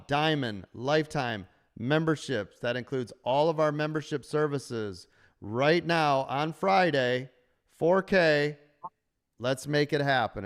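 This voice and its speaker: A man coaching stock traders on a live stream, upbeat and salesy while losing his voice, talking from a sunny room full of windows. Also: smooth. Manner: upbeat, sales-pitch delivery. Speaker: trading coach and host. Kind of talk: live stream chat with members.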